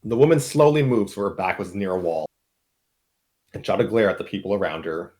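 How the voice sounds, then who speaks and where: A man's voice: deep voice, expressive, a little fast, darkish tone. A man narrating a story in a dramatic read-aloud, as one performer in an informal group reading. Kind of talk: dramatic reading of fan fiction with slips and asides.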